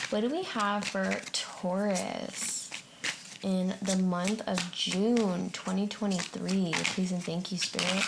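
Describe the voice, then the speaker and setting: Woman, tarot reader, unhurried pace, tentative musing delivery, vocal fry, faint background noise. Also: mid-range voice. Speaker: tarot reader and channel host. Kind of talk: intuitive tarot forecast, unscripted and addressed to the viewer.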